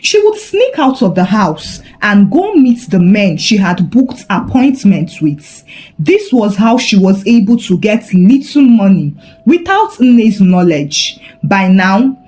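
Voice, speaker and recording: A woman narrating a scripted folktale in a dramatic, expressive storytelling manner. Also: mid-range voice, takes it slowly, faint music behind.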